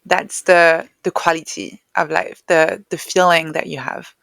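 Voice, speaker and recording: A woman with a slightly low voice talks as an interview guest. She explains her thoughts in a reflective, conversational style, recorded dry with very little room sound. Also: fairly even intonation, a little slow, creaky, a bit bright, no echo. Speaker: interview guest, neuroscientist and author.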